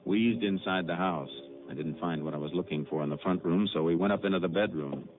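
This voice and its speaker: A man with an American accent acting a methodical Texas Ranger in a 1950s radio drama, measured and matter-of-fact as he narrates and plays scenes. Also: sits low, lightly acted. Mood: dry narration. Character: steady, methodical Texas Ranger lawman, male detective. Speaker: lead actor and narrator. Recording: noisy, narrow-band old radio recording.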